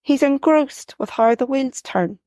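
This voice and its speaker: A woman reading her own first-person story aloud at a measured pace, gently expressive, lifting her voice for lines of dialogue. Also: high-pitched, no echo, studio quality.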